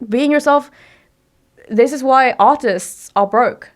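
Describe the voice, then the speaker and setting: A woman's voice: high-pitched, slow pace. A young woman from Mexico, whose first language is Spanish, speaking as a podcast guest in a direct, emphatic way and asking herself rhetorical questions. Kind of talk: casual interview talk.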